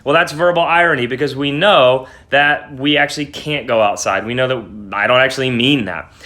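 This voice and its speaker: A man's voice: mid-range voice, moderate pace, bright, forceful tone. A young man giving an informal English lesson to camera, explaining emphatically with frequent hesitations, recorded at arm's length indoors. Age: young adult. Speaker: English teacher. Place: small wood-panelled room at home, indoors. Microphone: phone or laptop at arm's length.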